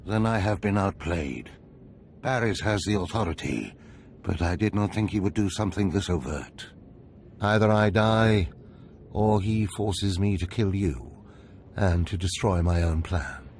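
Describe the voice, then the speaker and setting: Grave game overseer, lowish voice, formal, measured, dignified, resigned, faint ambient noise. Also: male voice, a little mellow. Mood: grave resignation. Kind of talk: video game cutscene acting.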